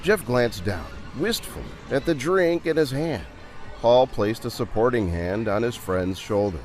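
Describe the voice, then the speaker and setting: A man's voice: mid-range voice, animated, measured pace, a little mellow, soft background music. A man narrating a dramatised storybook adventure in a theatrical, dramatic way.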